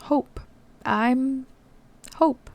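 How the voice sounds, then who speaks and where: Woman voicing an earnest, halting young half-ghost man. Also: sits high, vocal fry, darkish tone, voice pushed up, full character voice. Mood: tentative.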